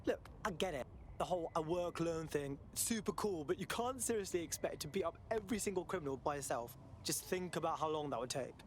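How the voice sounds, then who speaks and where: A man's voice: fairly high pitch, animated, fairly quick, a little hiss or hum, lightly acted. A man playing a casual, slightly awkward recruiter for hackers in a video game, persuasive but hesitant. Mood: earnest, awkward. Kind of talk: video game cutscene acting.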